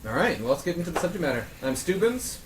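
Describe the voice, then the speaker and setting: A man's voice: a little high, sing-song, fast talker. Male round-table host, casual delivery, echoey room with some noise behind. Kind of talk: casual chat among friends. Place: echoey room with a group of friends. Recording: roomy echo with clear background noise.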